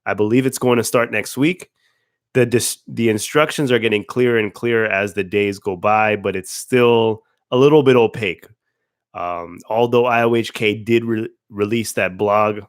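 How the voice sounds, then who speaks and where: A man hosting a casual livestream, his voice raspy because he is under the weather. He talks loosely to viewers, trips over words and starts them again, and stays in a plain middle pitch. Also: dry recording, studio quality. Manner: chatty, greets viewers by name, restarts words. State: hoarse from a cold. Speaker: livestream host.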